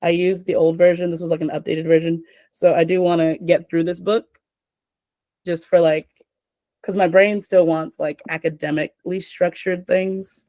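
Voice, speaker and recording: A woman's voice: on the low side, flat delivery, moderate pace, dark tone, no echo. A young adult woman hosting a casual chat, rambling with lots of filler words.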